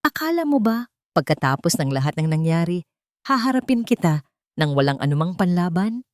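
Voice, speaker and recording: A woman's voice: fairly low pitch, sing-song, fairly quick, a little mellow, no room sound, clean studio sound. Woman acting a shaken but defiant young heroine. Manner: trembling but firm, confronting.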